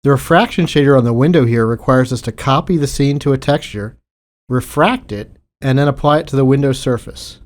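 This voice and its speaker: A man's voice: mid-range voice, fairly quick. A man delivering scripted technical commentary as a game developer.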